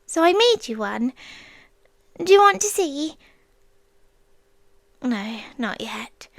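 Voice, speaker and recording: A British woman playing a possessive, obsessive female demon in an ASMR roleplay, soft and breathy, often whispering, coaxing with tender menace. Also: high-pitched, dry recording, heavily acted. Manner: slow, coaxing, sweetly menacing with long pauses.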